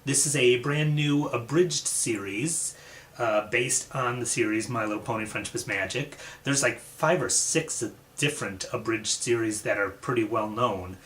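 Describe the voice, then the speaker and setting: Regular guy hosting a reaction vid, medium pitch, just chatting about what he watches. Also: normal speed, a bit bright, a little hiss or hum. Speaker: reaction video host. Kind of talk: casual reaction commentary.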